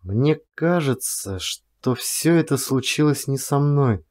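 A man's voice: medium pitch, lively intonation, slow pace, a little mellow, pitched above the performer's own voice. A man voicing an insecure young woman in love, emotional and confessional, in an audiobook. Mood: impassioned. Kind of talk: audiobook character dialogue. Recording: music under the voice.

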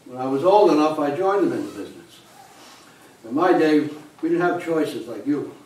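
An older man acting a stubborn, gruff 85-year-old grandfather, an immigrant former cabbie, in a live stage play. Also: a little high, expressive, moderate pace, a little mellow, lightly acted. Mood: matter-of-fact, reminiscing. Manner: gruff, defensive, matter-of-fact. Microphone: far camera in the audience. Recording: echoey small theater, distant camera, faint hiss.

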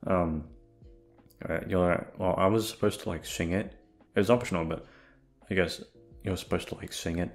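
Low-voiced young man rambling over music. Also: fairly even intonation, moderate pace, pauses often. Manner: hesitant, sweary, self-deprecating, repeats himself. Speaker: audio roleplay voice actor. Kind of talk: rambling casual chat to listeners.

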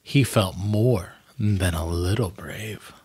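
A man's voice: deep voice, takes it slowly, a little mellow, breathy edge, no room sound. A man in his thirties speaking as a vlog host and storyteller, earnest and emphatic, close to a desk microphone. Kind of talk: storybook reading and candid commentary. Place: small plain room indoors.

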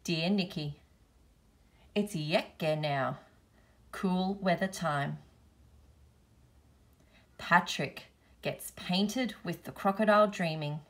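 Slow-paced woman reading a picture book. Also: lowish voice, fairly bright tone. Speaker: storybook reader, teacher. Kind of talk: children's picture book read-aloud.

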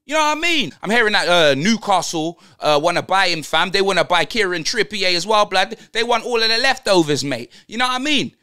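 A man's voice: high-pitched, lively intonation, a little fast, hardly any pauses, pushed and bright, clear, resonant tone. A man playing a brash young London roadman football fan, ranting in heavy slang and tagging lines with blood and fam. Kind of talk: comedic football rant.

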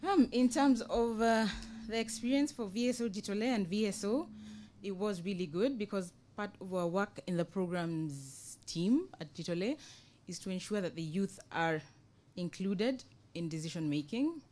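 A young woman with a Kenyan accent gives an informal panel presentation as an NGO project officer, explaining in a measured way with hesitant pauses. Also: mid-range voice, a little mellow, a little hiss or hum. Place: conference room, indoors, panel table. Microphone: table microphone on a stand.

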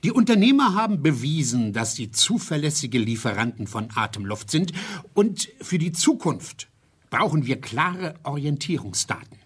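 A man's voice: fairly high pitch, sing-song, moderate pace, breathy edge. An actor playing a self-assured male business spokesman in a radio play, emphatic and declamatory. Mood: assertive. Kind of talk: German radio play acting.

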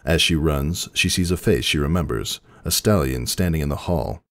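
Super deep guy narrating a dramatic story, dry close sound. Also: moderate pace, a bit bright, no echo.

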